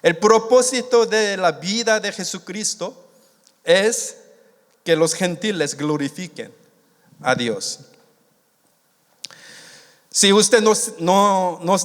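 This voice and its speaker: A man born in Korea and raised in the United States, preaching a sermon in a halting way, with many restarts, and stretching out the key words. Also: high-pitched. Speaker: missionary preacher. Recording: long hall reverb.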